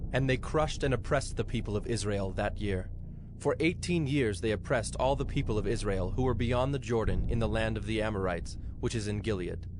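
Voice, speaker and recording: A man narrating scripture from a script in a measured, solemn manner. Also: lowish voice.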